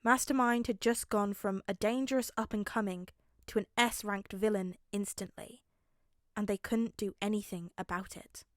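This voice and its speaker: A woman's voice: medium pitch, fairly even intonation, normal speed, dry recording, studio quality. A woman narrating a scripted story as a podfic reader.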